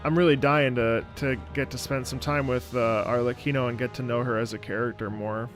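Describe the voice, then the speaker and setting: Guy live streaming a game, casual and rambly, middle pitch, faint music behind. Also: fairly even intonation, a little fast. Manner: rambling, thinking aloud. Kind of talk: casual live stream commentary, reading chat.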